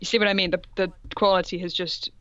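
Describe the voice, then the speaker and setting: A woman's voice: lowish voice, little pitch movement, fast, a bit bright, no echo, lo-fi sound. A woman co-hosting a casual reaction show, reading fan fiction aloud and adding playful, mocking commentary. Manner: playful, mocking, puts on voices for quoted dialogue.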